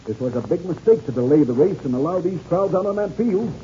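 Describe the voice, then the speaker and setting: Actor as a high, animated race official. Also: male voice, fast, close to an everyday voice. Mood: urgent. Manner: urgent, emphatic. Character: flustered but commanding male race official. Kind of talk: 1930s radio adventure serial acting. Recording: dull, noisy old radio recording.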